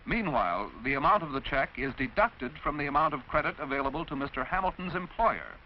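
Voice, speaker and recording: An American man reads a scripted mid-century instructional film narration in a didactic, measured, clearly enunciated way. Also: fairly high pitch, expressive. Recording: dull, noisy vintage film soundtrack.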